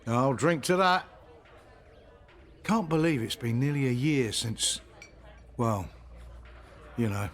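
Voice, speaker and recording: An actor playing a wry, grumbling village pub landlord in a radio soap. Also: male voice, mid-range voice, takes it slowly, pauses often, natural voice. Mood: subdued, reflective. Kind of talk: radio soap opera acting.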